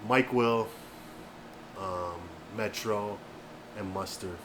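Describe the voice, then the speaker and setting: A man's voice: extremely slow, background noise. Laid-back man in his thirties. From Canada. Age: 30s. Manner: laid-back, low-key, trails off softly. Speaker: rapper guest. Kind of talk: casual interview chat.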